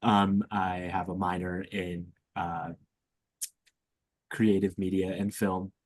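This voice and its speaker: A man's voice: low voice, little pitch movement, slow pace, dry recording. A young man, a student co-hosting a podcast, chatting informally with hesitant phrasing. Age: young adult. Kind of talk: casual chat.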